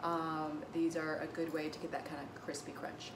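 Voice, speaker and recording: A woman's voice: low-pitched, level tone, normal speed. Cheerful woman teaching a kitchen recipe. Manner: cheerful, instructive, chatty with a child helper. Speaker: dietitian hosting a kids' cooking segment. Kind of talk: upbeat cooking demonstration. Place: large home kitchen. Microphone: camera across the room. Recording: echoey kitchen, distant mic, background noise.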